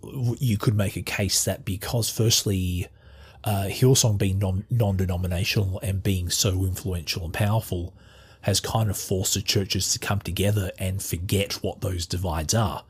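Australian male host, very husky low voice, halting delivery with many restarts. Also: fairly even intonation. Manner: halting, many restarts and fillers while searching for words. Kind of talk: casual conversational interview.

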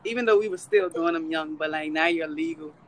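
A woman in her twenties chatting casually on a podcast in an excitable way. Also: sits low, brisk, slight background noise. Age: 20s. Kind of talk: casual chat.

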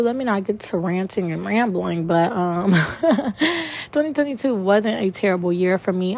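Raspy young woman hosting a podcast. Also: mid-range voice. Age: 20s. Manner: chatty, rambling, many ums. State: just woke up from a nap. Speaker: podcast host. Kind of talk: casual solo podcast monologue.

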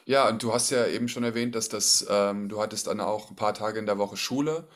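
A man with a fairly low voice hosts a relaxed conversation. He asks encouraging questions and often closes his sentences with a quick tag question, keeping the talk friendly and easy. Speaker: interviewer. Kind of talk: casual conversational interview.

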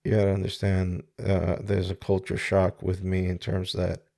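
A man in his forties from the Dominican Republic, hosting a reflective solo podcast. He talks in a halting, searching way, with many pauses and restarts, into a studio microphone. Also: sits low, completely flat delivery, vocal fry, dark tone. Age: 40s. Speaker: podcast host. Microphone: studio microphone with mixer.

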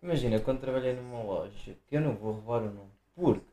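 A man's voice: medium pitch, quick pace, warm tone. A young man with a central Portuguese accent, chatting casually as a podcast co-host, telling stories loosely and swearing freely. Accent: central Portuguese, Viseu. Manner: loose storytelling, acts out quoted people, swears freely. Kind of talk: casual chat.

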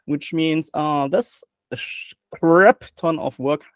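A man's voice: on the high side, takes it slowly, clean tone, no room sound. Man, German accent, podcast guest, jokey, laughs often, repeats words, dry close sound. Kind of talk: casual chat.

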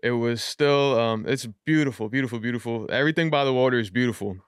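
A young man talks through his story in an easy, rambling way, dropping fillers as he goes. His voice is smooth and resonant, mid-pitched, and recorded close and dry with no room sound. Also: fast talker, no echo. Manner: loose, chatty, frequent fillers. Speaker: rapper, podcast guest. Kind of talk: casual interview talk.

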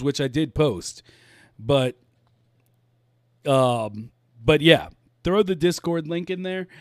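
A man's voice: on the high side, dry recording, studio quality. A man hosting a casual live-stream chat, laid-back, laughing often and repeating his words. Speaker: podcast host.

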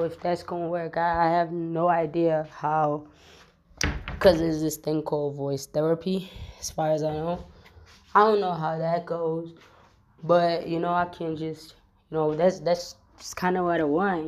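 A woman with a flu-roughened voice, talking casually to her viewers in a rambling vlog, full of slang and repeated fillers. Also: on the low side, a little mellow, slight background noise. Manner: slangy, repeats fillers like "you know what I'm saying". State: hoarse from flu. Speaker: vlogger.

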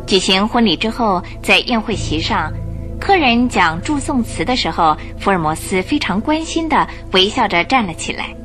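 A woman narrating a radio drama in an expressive, measured storytelling manner. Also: mid-range voice. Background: music.

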